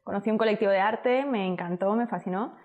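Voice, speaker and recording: A woman's voice: medium pitch, fast, a little mellow, lo-fi sound. Ecuadorian-born woman, accent half spain half mexico city, talks in a loose chatty way, laughs a lot. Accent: Spanish from Spain mixed with Mexico City. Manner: laughs often, chatty, trails off mid-phrase. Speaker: guest, entrepreneur. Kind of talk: casual conversational storytelling.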